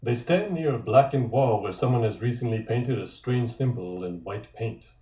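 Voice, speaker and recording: A man's voice: mid-range voice, expressive, normal speed, warm tone. A man narrating scene directions from a comic script at a table read. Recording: echoey room.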